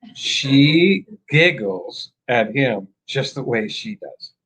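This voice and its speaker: Joking man with a husky, lively voice. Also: medium pitch, slow, rough recording. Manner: joking, playful storyteller. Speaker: co-host of a live stream chat. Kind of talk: casual live-stream chat.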